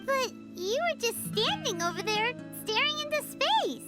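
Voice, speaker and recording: A tiny fairy sidekick with an extremely high, squeaky child's voice. The little creature is perky and nosy, exclaiming brightly like a game mascot. Music plays behind. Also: female voice, sing-song, normal speed. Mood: cheeky. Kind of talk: video game character dialogue.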